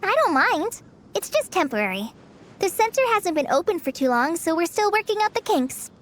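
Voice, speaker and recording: A woman's voice: extremely high pitch, sing-song, normal speed. A woman voicing a cheerful, diligent little girl who works at an outpost in a video game, chirpy and businesslike. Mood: cheerful, unbothered. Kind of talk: video game character dialogue.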